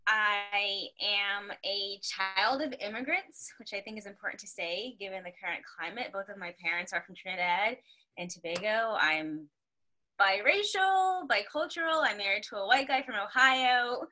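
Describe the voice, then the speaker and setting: A woman's voice: medium pitch, animated, normal speed, sharp tone, low-quality recording. A woman educator, a podcast guest, introducing herself in a confident, emphatic way. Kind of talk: casual podcast chat.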